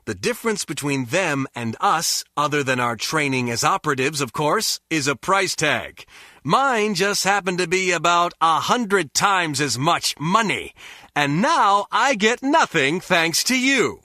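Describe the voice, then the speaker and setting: A bright, forceful male voice with lively swings in pitch plays a cynical American spy gone crooked. He is greedy, sardonic and unrepentant, talking in slangy game dialogue on a close, dry recording. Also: unhurried, no echo. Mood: cynical, self-justifying. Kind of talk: video game voice acting.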